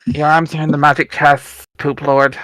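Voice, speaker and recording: Livestream host for a dramatic reading, medium pitch, informal, recorded close and dry. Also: flat delivery, moderate pace, fairly bright tone, no echo, poor audio. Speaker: stream host and reader. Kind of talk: dramatic reading mixed with casual livestream chat.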